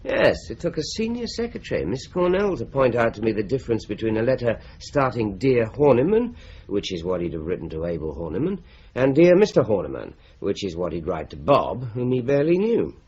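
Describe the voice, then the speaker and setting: An actor playing a steady, methodical police inspector, measured and confident, in a muffled old radio play. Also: male voice, medium pitch, slightly rough. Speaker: detective inspector. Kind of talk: 1950s-style radio drama acting. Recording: dull, muffled vintage radio recording.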